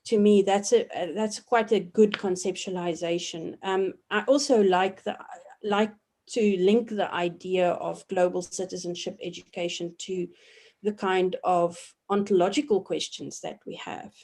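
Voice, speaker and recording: A woman in her forties from South Africa, a professor giving measured, reflective answers in an interview, often pausing with ums and restarting her sentences. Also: lowish voice, fairly even intonation, a little mellow. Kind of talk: thoughtful academic interview answers.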